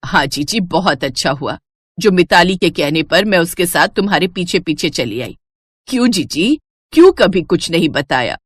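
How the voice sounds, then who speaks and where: A woman voicing a kindly middle-aged mother with a soft, hesitant way of talking. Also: on the low side, animated, normal speed, husky, no room sound, clean studio sound, voice pushed down.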